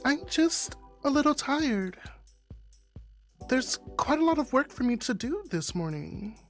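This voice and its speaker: Woman putting on a high, weary young office lady. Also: sing-song, unhurried, soft background music. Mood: weary, evasive. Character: tired, reserved young female office worker.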